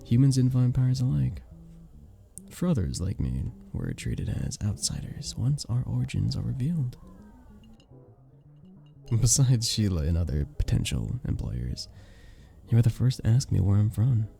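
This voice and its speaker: A very dark, mellow voice with a gravelly creak and lively rises and dips. It is a charming, sweet vampire bartender, acted out by a man, soft-spoken and courteous in the formal way of an audio roleplay. He sounds modest and gentle throughout. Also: lowish voice, unhurried, faint music behind, exaggerated voice. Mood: wistful, hesitant. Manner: gentle, polite, slightly formal, bashful chuckles.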